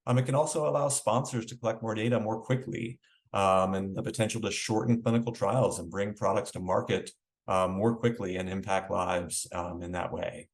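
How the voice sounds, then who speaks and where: A man speaking as a regulatory official, giving loosely spoken conference remarks in a halting, hedging way. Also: on the low side, fairly even intonation. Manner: halting, hedging, frequent "you know".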